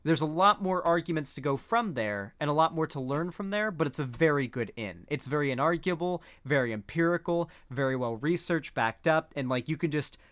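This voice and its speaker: A man's voice: a little high, lively intonation, a little fast, few pauses, a bit bright. A man giving casual political commentary, rambling with frequent restarts.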